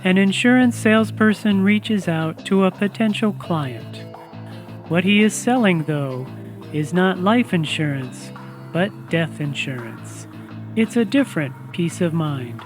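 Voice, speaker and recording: A man narrating radio theatre as an announcer, in a deliberate, projected, presentational delivery. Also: high voice, expressive, over music.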